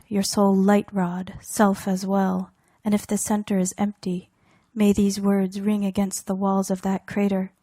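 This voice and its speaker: A woman reading her own poetry aloud in a deliberate, measured way, in fragmented phrases with weighted pauses. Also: medium pitch, mellow, dry recording, studio quality. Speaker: poet reading own work.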